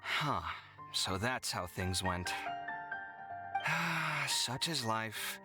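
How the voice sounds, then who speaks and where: A man's voice: mid-range voice, expressive, extremely slow. An actor playing a dramatic, easily exasperated young man in an anime video game, theatrical and indignant but kind-hearted. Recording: game music behind the voice.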